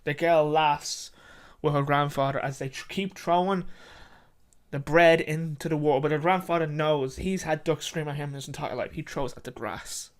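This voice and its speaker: A storyteller reads a short story in a dramatic way. The voice sits at a medium pitch. The delivery is emphatic and repetitive, with an occasional stumble over the words. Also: steady tone, normal speed.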